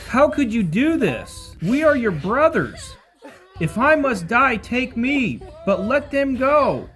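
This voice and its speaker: A man's voice: very high voice, big pitch swings, takes it slowly, a bit bright, exaggerated voice. A man playing an indignant, pleading eldest brother in an audio Bible drama. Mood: anguished pleading. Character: distraught eldest brother pleading for his family.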